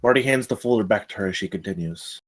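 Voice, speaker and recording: A man's voice: fairly low pitch, quick pace, husky. A man reading stage directions as the narrator of a screenplay table read.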